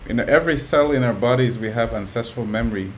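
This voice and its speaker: A man talking as a guest, calmly explaining ideas and often checking in with "you know.". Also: mid-range voice, fast talker, slight background noise. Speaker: guest musician and educator. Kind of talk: relaxed conversational storytelling.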